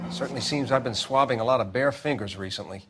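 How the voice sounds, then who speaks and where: A man's voice: mid-range voice, fast, lightly acted. A man playing a composed coroner in a video game, speaking in a precise, detached, formal way. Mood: clinical, detached. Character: clinical, composed male coroner.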